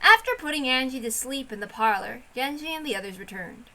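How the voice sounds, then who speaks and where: High-voiced woman reading a story aloud. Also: expressive, fairly quick, pushed and bright. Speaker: reader of a visual novel. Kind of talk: casual chat and story narration.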